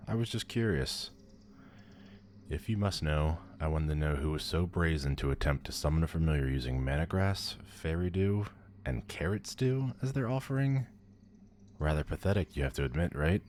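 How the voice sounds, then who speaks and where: A man's voice: a bass voice, darkish tone, a little hiss or hum. A man playing a powerful demon lord in an ASMR audio roleplay, pompous, condescending and theatrical. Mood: condescending amusement. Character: haughty, powerful male demon lord, bored and amused.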